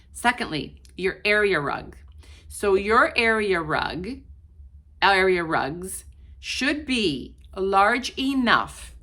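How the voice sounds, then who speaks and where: A woman's voice: fairly low pitch, a bit bright. A woman whose first language is Portuguese, giving casual advice to her viewers from her home in a chatty way. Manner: chatty, addressing viewers directly. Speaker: vlogger. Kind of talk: casual talk to camera.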